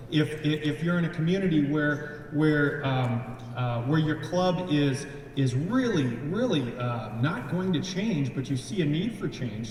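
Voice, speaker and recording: An eager man gives a talk in a large event room, fairly high-voiced and full of earnest restarts. He is heard from a distance, with strong echo and a long hall reverb. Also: a little hiss or hum. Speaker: district membership chair. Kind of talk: enthusiastic presentation. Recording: echoey hall from far off.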